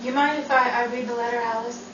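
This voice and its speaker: A woman acting a wry, frank, soft-hearted army woman in a 1940s-style radio drama, on a noisy, echoey recording. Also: fairly high pitch, steady tone, fast, far from the mic, natural voice. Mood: concerned. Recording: noisy, dull, echoey room from afar.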